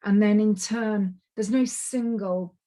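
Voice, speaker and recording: A woman giving an online presentation on a video call, her voice a little croaky and tired, chatty and apologetic with lots of ums and restarts. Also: mid-range voice, fairly even intonation, slow, mellow. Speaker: climate project manager giving a webinar talk. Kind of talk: online presentation, loosely scripted. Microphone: computer mic on a video call.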